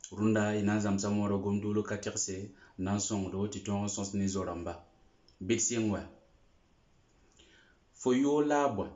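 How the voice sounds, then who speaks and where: Slow, deep-voiced man drilling phrases. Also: a little mellow. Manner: slow, deliberate, repeats each phrase three times. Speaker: language teacher. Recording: echoey, dull lo-fi room.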